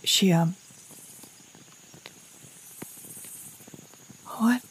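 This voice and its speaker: An anxious, slow-spoken, wry survivor mother. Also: medium pitch, slightly rough, low-quality recording. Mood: awed, fearful. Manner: halting, rambling, self-interrupting, wry. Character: anxious, wry middle-aged mother surviving alone after apocalypse. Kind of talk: audio drama monologue.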